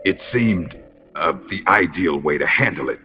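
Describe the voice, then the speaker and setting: Super deep gravelly torturer soldier, smug and cruel, dull game audio. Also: male voice, expressive, normal speed, music in the background. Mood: cold, calculating. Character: menacing, sadistic male interrogator soldier, casually cruel. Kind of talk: dramatic video game voice acting.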